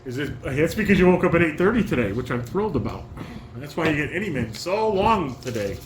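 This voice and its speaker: Older man coaching with a lively voice, roomy sound. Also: a little high, fast. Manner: instructing, corrective. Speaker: father directing a home table read. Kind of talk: casual directing and reading stage directions aloud. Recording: roomy home recording with clear background noise.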